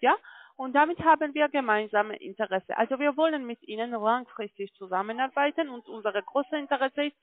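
A non-native German-speaking woman with a foreign accent giving a telephone sales pitch as a call-centre agent, often checking in as she goes. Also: dry recording. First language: not German. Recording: muffled, tinny phone line.

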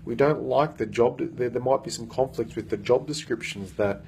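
A man's voice: medium pitch, moderate pace, creaky, a little mellow, a little hiss or hum. A man in his thirties, a coach, talks earnestly into a phone held at arm's length in an office meeting room, with lots of fillers and restarts. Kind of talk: casual self-recorded vlog.

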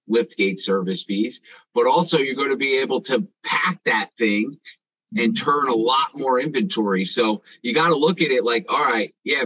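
A man in his thirties coaching business owners with confident, emphatic advice. His voice has a husky edge, and it comes through dry and a little dull over a video call from a dim room at home. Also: medium pitch, a bit bright, no room sound. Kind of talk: casual group video call.

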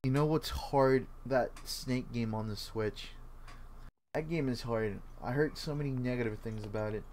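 A man's voice: medium pitch, normal speed, a little mellow, a little hiss or hum. A man streaming a video game, chatting casually in a laid-back, rambling way and swearing freely. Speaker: gaming streamer.

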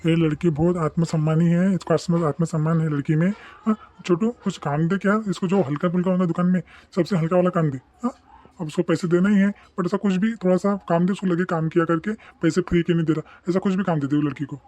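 Guy playing kindly flustered snack shop boss, high for a man, talks fast, repeats himself, cartoon. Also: steady tone, warm tone, natural voice. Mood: kindly, approving. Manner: repetitive, avuncular, mixing Hindi and Marathi. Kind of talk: animated moral story dialogue.

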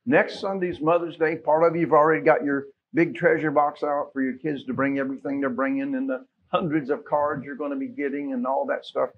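Husky American pastor, middle-aged man. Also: on the high side, steady tone, brisk, hardly any pauses. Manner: folksy, conversational, asks listeners questions. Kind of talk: informal church announcements from the pulpit. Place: small church sanctuary, at pulpit. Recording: dull, muffled church sound recording.

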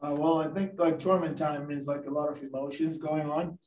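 A man's voice: on the high side, steady tone, fairly quick, a little mellow. A young man answering as a college team captain at a press conference, hesitant and thinking aloud. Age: young adult. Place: press conference room, indoors at a table. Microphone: distant camera mic, face mask on. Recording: echoey room, distant microphone.

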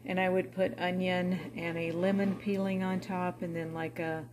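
A middle-aged woman with a somewhat low voice narrates her cooking in a relaxed, chatty way. She sounds homey and unhurried as she walks through each step. Faint kitchen background noise sits under her voice. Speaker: cooking vlogger. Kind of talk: casual cook-with-me narration. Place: home kitchen.

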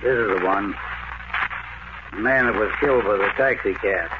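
An actor playing a calm, matter-of-fact morgue attendant in a 1940s radio drama. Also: male voice, on the low side, normal speed, highs cut off, lightly acted. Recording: phone-thin old radio recording with loud hiss.

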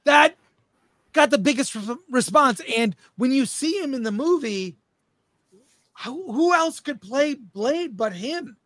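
A man with a notably high voice hosts a casual panel discussion. He states his opinions with emphasis, and the sound is very dry, without room echo. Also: expressive, slow pace, a bit bright. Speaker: host of a film debate show.

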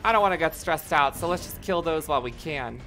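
An excitable game streamer whose voice is turning hoarse, chatting with viewers and swearing freely while reacting loudly to the game. The voice is bright and lively, swinging up and down a lot. Faint game music plays behind. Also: mid-range voice, a little fast. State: voice getting hoarse. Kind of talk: casual live-stream commentary.

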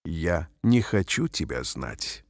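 A man reading short phrases aloud slowly and carefully, each one repeated, for language learners. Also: deep voice, very animated, no echo. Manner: careful, clearly spaced phrases. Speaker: language teacher. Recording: dry studio voice-over.

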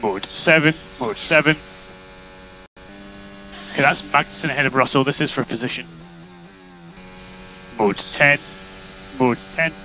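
Clipped, bright-voiced male race engineer on radio. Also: mid-range voice, measured pace, long pauses. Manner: terse, clipped, repeats instructions. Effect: team radio. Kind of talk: motor racing team radio instructions. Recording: noisy team radio with electrical hum.

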